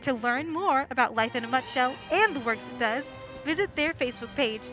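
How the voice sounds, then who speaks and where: A woman's voice: high-pitched, animated, a little fast, over music. A woman reading a scripted sponsor announcement as a radio play announcer.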